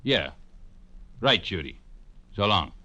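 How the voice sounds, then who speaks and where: An actor playing a menacing fat gunman with a thick, soft voice and curt, bullying manner in an old radio crime drama. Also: low-pitched, very animated, slow, dull audio, noisy background, full character voice. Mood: evasive. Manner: curt, bullying tough talk.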